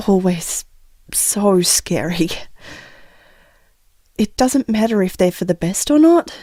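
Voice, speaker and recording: An actress playing a sad, gentle woman bravely reassuring a loved one in an audio drama, hushed and halting. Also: medium pitch, no echo, studio quality. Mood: wistful, hopeful. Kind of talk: intimate audio drama monologue.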